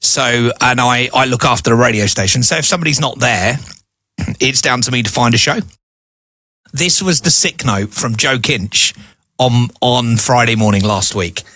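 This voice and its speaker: A man's voice: mid-range voice, moderate pace, pushed and bright, no room sound. A middle-aged man co-hosting a casual podcast, chatty and rambling, laughing often. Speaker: podcast co-host and radio station manager.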